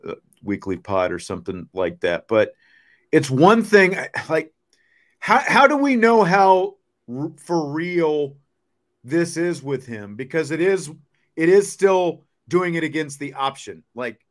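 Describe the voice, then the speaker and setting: A man hosting casual sports talk, chatty, phrasing his questions in a halting way. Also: fairly high pitch, sing-song. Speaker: sports podcast host.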